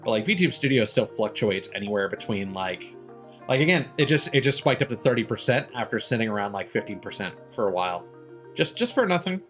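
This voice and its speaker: A man giving casual live game stream commentary in a rambling, halting, mildly griping way. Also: medium pitch, big pitch swings, fairly bright tone, faint music behind.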